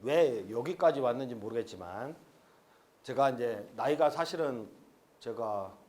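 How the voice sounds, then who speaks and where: A man's voice: medium pitch, expressive, measured pace, a little mellow. A man in his fifties giving a frank, rambling seminar lecture at an expo, with many fillers. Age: 50s. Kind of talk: live seminar lecture to an audience.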